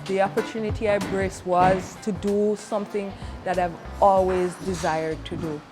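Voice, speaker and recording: A woman's voice: medium pitch, fairly even intonation, moderate pace. Woman giving a testimonial over music. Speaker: trade program student giving a testimonial. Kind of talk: personal testimonial to camera.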